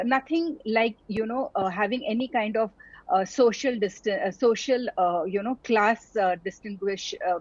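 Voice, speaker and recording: A woman's voice: medium pitch, no room sound. A middle-aged woman from India, a doctor, talking earnestly as an interview guest, with many fillers and restarts. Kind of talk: reflective interview conversation. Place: online town hall talk. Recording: dull, lo-fi audio.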